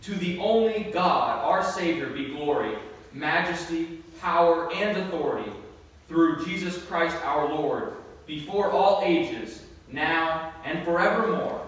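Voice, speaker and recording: A man's voice: a little high, lively intonation, a bit bright. A young man, a vicar, reading scripture formally and phrase by phrase in a church. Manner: measured phrase-by-phrase delivery. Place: church sanctuary at a lectern. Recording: echoey church from far off, faint noise.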